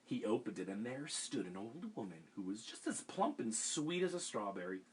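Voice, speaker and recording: A man reading a picture book aloud for a children's storytime, animated and expressive. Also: fairly low pitch, normal speed, slight background noise.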